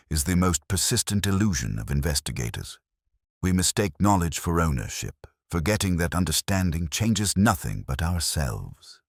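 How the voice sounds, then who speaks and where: A man narrating a detective story in the first person, in a measured, reflective, literary way. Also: very deep voice, no room sound, clean studio sound.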